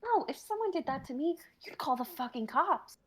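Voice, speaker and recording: A woman's voice: high-pitched, expressive, moderate pace. A woman playing a conflicted, guilt-ridden young woman on a date, in a conversational comedy sketch reading. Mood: distressed, guilty. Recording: faint noise, amateur livestream audio.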